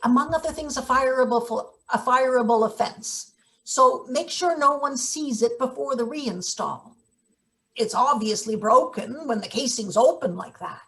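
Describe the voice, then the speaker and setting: High-voiced, bossy woman worker, played by an actress. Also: expressive, normal speed, fairly bright tone, poor audio. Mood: curt, secretive. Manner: bossy, clipped, sardonic. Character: brusque, scheming, cynical female maintenance worker boss. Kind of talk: theatrical table read of a satirical play.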